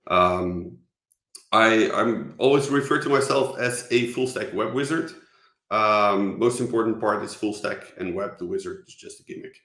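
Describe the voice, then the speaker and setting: A man with a Dutch accent gives an online conference talk in a fairly low voice. He stops and restarts often, filling gaps with ums. His voice carries the echo of the room around him. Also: fairly bright tone. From Netherlands. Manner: halting, many fillers and restarts. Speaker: conference speaker.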